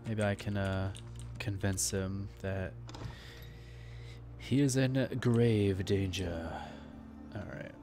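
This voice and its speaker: A man's voice: low-pitched, steady tone, slow, long pauses. A man giving casual, slangy, laid-back commentary as he plays a video game. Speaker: gaming let's player. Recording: game music behind the voice. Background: game music.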